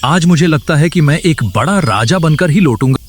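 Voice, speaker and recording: A greedy, scheming village man, played by an actor, with a highish, very animated voice. He is whiny and exaggerated in a cartoon moral-tale way, with faint birds and insects behind him. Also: moderate pace, a little hiss or hum, lightly acted. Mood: covetous.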